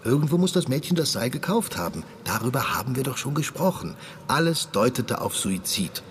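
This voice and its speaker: A man's voice: medium pitch, sing-song, brisk, natural voice. A man playing a blunt, exasperated but protective police detective in a radio drama, with emphatic, insistent delivery. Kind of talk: radio drama dialogue in a flashback. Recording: long reverb, faint ambient noise.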